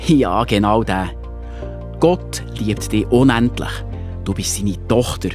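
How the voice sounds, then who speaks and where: A man playing a loving, patient father in a children's audio drama, gently reassuring, with a Swiss German accent. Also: on the low side, takes it slowly, faint music behind.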